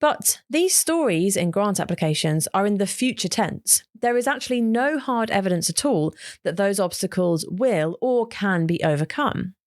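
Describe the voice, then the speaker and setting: A woman's voice: mid-range voice, expressive, moderate pace, hardly any pauses, no echo, studio quality. A woman, reading scripted narration as a podcast host.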